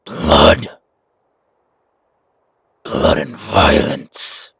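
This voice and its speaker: Man as a very deep, surly, gravelly werewolf. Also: completely flat delivery, extremely slow, fairly bright tone, no echo, heavily acted. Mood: growling anger. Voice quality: gravelly, raspy growl. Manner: terse, grudging. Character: surly, hot-tempered male werewolf who hates vampires. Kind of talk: audio roleplay drama acting.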